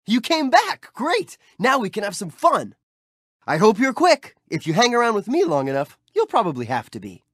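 An actor voicing a cheerful, street-smart young hero in video game clips, with light, boyish, friendly and teasing delivery. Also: male voice, sits high, fairly bright tone, no room sound, studio quality, exaggerated voice. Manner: friendly, teasing, easygoing chatter.

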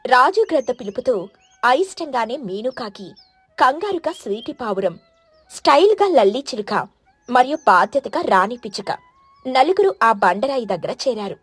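A woman narrates a scripted story for children. Her voice sits a little high and is recorded dry, with music behind her. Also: big pitch swings, normal speed, a bit bright, husky, no room sound.

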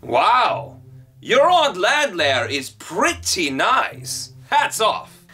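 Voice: mid-range voice, sing-song, drawn-out words, sharp tone, soft background music, full character voice. A performer voicing a goofy young male villain, theatrical and whiny, in an exaggerated cartoon style. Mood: pleased.

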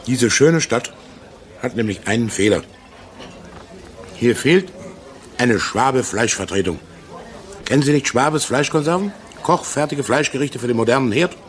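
A man's voice: mid-range voice, lively intonation, normal speed, pauses often, natural voice. An actor playing a brash, jovial middle-aged salesman and war veteran in a radio drama, chatty and crude-tongued. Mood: jovial, pushy. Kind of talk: German radio crime drama acting.

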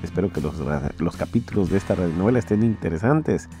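A male podcast host chats in a folksy Mexican accent. His voice is deep and dark with lively intonation, over light guitar music. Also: fast. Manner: folksy, chatty. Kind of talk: casual commentary on a radio serial.

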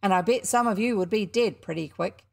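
A woman voicing a cocky young schoolboy showman, loud and indignant as he hawks his show. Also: mid-range voice, brisk, creaky. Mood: boastful.

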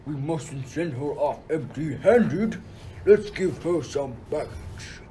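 A man's voice: on the high side, lively intonation, takes it slowly, warm tone, a little hiss or hum. A man playing a wrathful, scheming king of the gods in a radio play. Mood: commanding, scheming.

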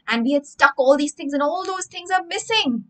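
A young woman with an Indian English accent, reading a story aloud to children and stopping to explain words, with lively, expressive delivery from a room lined with bookshelves. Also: sits high, normal speed, poor audio. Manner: expressive, animated hand gestures, explains words as she goes. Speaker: storytelling teacher.

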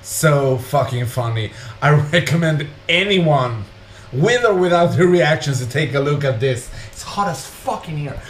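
A man's voice: fairly high pitch, lively intonation, measured pace. A man in his thirties giving casual, crude, amused reaction commentary, recorded in a small home room. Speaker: reaction video host. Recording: roomy echo, clear background noise.

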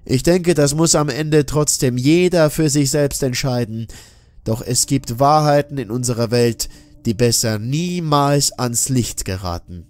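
A man voicing a grave expedition captain in a horror audiobook, speaking in a solemn, measured, emphatic way. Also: medium pitch, darkish tone, no echo, lightly acted. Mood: grave warning. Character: grave expedition captain warning his crew of danger.

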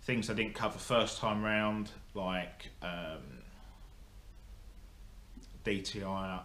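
A man's voice: fairly low pitch, takes it slowly, bright, forceful tone. A young man giving a casual, rambling update to camera, full of ums and restarts. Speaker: motorsport vlogger. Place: indoor workshop. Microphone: camera in front of him. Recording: echoey workshop, faint hum.

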